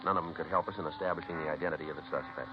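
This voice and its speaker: Deep-voiced, deadpan American police detective. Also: male voice, rapid-fire, music in the background. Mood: matter-of-fact. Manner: clipped, deadpan, businesslike. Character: terse, matter-of-fact police detective narrating his cases. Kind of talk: 1950s radio police drama acting and narration. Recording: noisy, muffled 1950s radio recording.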